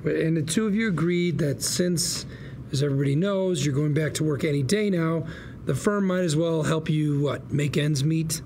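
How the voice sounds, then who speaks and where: A man's voice: fairly high pitch, moderate pace, gravelly, darkish tone, a little hiss or hum. An actor playing a scheming, sarcastic lawyer with a folksy salesman's patter at a script table read. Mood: sarcastic, indignant. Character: scheming, sarcastic younger brother, a slick fast-talking lawyer.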